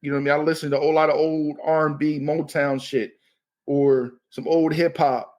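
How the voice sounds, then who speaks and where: A man's voice: on the high side, little pitch movement, fairly quick, a little mellow. A man in his thirties from Maryland, a comedian guest talking casually and loosely, often saying "you know what I mean.". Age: 30s. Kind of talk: casual podcast chat.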